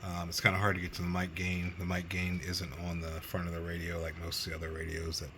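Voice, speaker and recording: Very low-voiced man, casual gear review. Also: monotone, fast talker, very noisy. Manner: rambling, unscripted, matter-of-fact. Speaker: amateur radio hobbyist reviewing gear. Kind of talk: casual hands-on product review. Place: radio bench, indoors.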